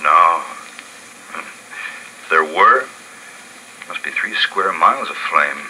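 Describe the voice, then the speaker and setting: An actor playing a steady, thoughtful adult male survivor in a 1950s radio drama, calm and measured. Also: sits low, slight background noise, exaggerated voice, low-quality recording. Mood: grave. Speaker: narrator and lead character. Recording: old radio broadcast with loud score.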